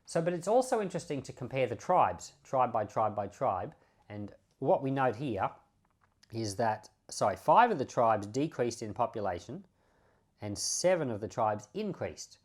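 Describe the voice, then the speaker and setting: A man's voice: mid-range voice, sing-song, moderate pace. A man speaking as a pastor, explaining scripture in an informal, conversational way and stressing key words by repeating them. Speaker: pastor and podcast host. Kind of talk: informal Bible teaching commentary.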